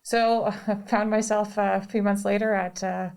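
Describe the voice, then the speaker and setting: Brief: woman, 30s, hospitality guest, creaky low edge, playful and chatty. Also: flat delivery, a little mellow. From Toronto, Canada. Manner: bubbly, quick with playful quips. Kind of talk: casual studio chat. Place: studio.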